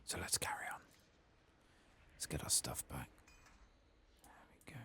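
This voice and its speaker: A man giving hushed, casual ASMR commentary over a video game in a soft murmur. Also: unhurried. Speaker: gaming ASMR streamer. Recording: faint background noise.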